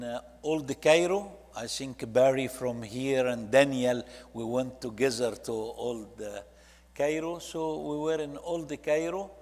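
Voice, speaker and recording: Egyptian man presenting in a reverberant hall. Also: mid-range voice. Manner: halting, with short phrases and non-native English grammar. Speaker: church ministry leader presenting to a congregation. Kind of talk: presentation talk narrating photo slides.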